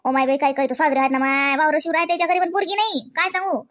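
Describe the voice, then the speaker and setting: A high-pitched, chatty village auntie from a comedy cartoon, acted out by a woman. She talks in rustic Varhadi Marathi from Vidarbha. Her delivery is gossipy and full of exclamations. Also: fairly even intonation, very fast, a bit bright. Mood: curious, gossipy.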